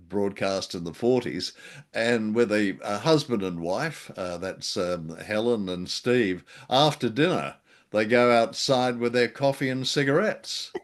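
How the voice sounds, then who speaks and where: An older man co-hosting a casual chat about an old radio drama, wry and jokey, with a halting delivery. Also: on the low side. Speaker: podcast co-host.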